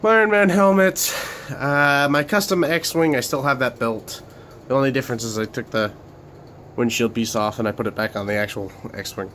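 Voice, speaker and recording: A young man hosting a casual room tour vlog of his bedroom studio, talking haltingly with many fillers and restarts while coming down with a cold. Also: mid-range voice, fairly bright tone, slight background noise. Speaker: Lego channel host.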